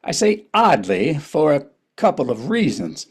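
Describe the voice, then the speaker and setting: A man's voice: on the high side, huge pitch swings, measured pace, slightly rough. A man narrating a historical play in a scripted but conversational way.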